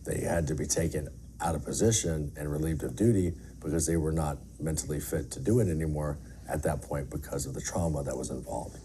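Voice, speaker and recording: Middle aged guy w a really deep voice, earnest n emphatic interview answers, bit of background noise. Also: a little fast, warm tone. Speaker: air traffic controller being interviewed.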